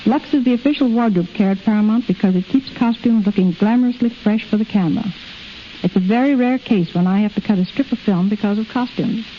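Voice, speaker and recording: A woman's voice: medium pitch, fast. A middle-aged woman reading scripted answers as a guest in a 1930s radio interview. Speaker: film editor, interview guest. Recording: muffled, hissy 1930s radio recording.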